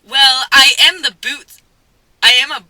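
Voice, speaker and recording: A woman voicing a pompous, haughty teenage boy wizard with theatrical, drawn-out delivery in a comic story reading. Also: high voice, fairly even intonation, sharp tone, no echo, pitched above the performer's own voice, exaggerated voice. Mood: grandly pleased.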